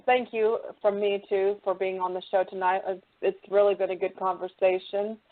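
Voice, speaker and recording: Female co-host, casual conversational delivery, mid pitch. Dry, thin phone-line audio. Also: flat delivery, normal speed, no room sound. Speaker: show co-host. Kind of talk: casual interview chat. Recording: dry narrow phone-like sound.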